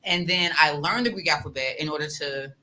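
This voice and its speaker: Casting: woman guest, lowish bright voice, chatty storyteller. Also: low-quality recording. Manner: quick, animated storytelling. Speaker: guest, former teacher. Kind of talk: casual storytelling.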